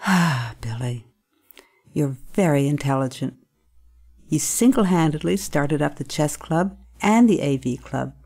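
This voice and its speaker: Low-voiced, concerned schoolteacher played by a woman. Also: expressive, darkish tone, soft background music, heavily acted. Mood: concerned, probing. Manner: measured, coaxing. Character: concerned, probing female school staff member. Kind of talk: audio drama acting.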